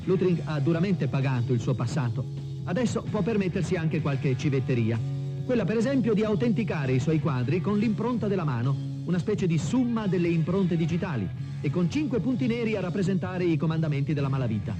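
A man's voice: a little high, lively intonation, brisk. A man working as a reporter, reading scripted news narration in an archive broadcast style. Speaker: newsreel reporter. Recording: dull archive broadcast, music behind.

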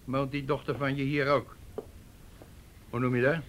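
An actor playing a sarcastic, domineering police detective with a crude tongue in a radio drama. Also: male voice, mid-range voice, little pitch movement, normal speed, natural voice. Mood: gruff, sarcastic. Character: gruff, bullying, contemptuous male police detective with crude tongue.

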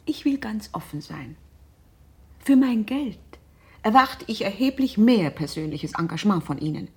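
A woman's voice: medium pitch, animated, normal speed, darkish tone, slightly rough. An actress playing a demanding, sarcastic middle-aged mother in a radio drama, haughty and cutting with impatience. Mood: impatient, cutting. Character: demanding, sarcastic, imperious mother hiring a private detective. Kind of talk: German radio drama acting.

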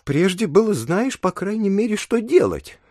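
A man's voice: high-pitched, sing-song, moderate pace, darkish tone, dry recording, studio quality. An actor playing a disgruntled, exasperated male petitioner grumbling about officials in an audio drama. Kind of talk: audio drama acting of classic literature.

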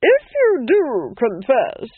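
A man's voice: very high-pitched, wildly swooping pitch, takes it slowly, slightly rough, dry recording, phone-quality sound, pitched above the performer's own voice, exaggerated voice. A man voicing a cold, cruel Duchess in a storybook reading, commanding and scornful. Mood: coldly menacing.

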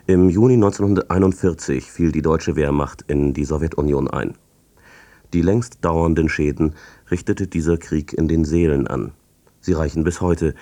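A man giving a formal scripted radio introduction in a measured, serious manner. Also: very deep voice, dark tone. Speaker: radio announcer introducing the play.

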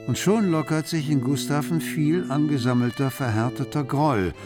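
An actor playing a wry literary narrator, telling the story in measured, scripted radio play style. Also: male voice, a little high, over music, lightly acted. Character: literary narrator, wry observer of bourgeois households.

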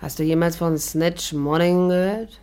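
An actress playing a cool, mocking young barmaid in a 1950s German radio play, with a teasing, flippant delivery. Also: female voice, lowish voice, fairly even intonation, measured pace, warm tone, clean tone, close to an everyday voice. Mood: cool, worldly.

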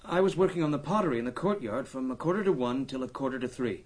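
An actor playing a matter-of-fact archaeologist in a radio drama, giving precise, factual answers in a cooperative way. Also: male voice, medium pitch, lively intonation, fast, a little mellow, close to an everyday voice.

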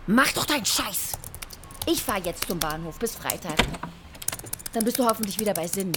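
A woman's voice: mid-range voice, lively intonation, normal speed, noisy background, close to an everyday voice. An actress playing a wry, frank young mother in her thirties, with dry, direct, colloquial delivery in a naturalistic audio drama. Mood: fed up, angry.

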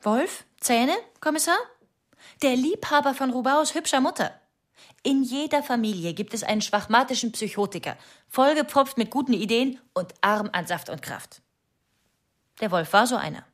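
A woman's voice: a little high, lively intonation, normal speed. An actress playing a sardonic young woman in a radio drama, pointed and mocking in her delivery. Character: sardonic young woman addressing a police commissioner.

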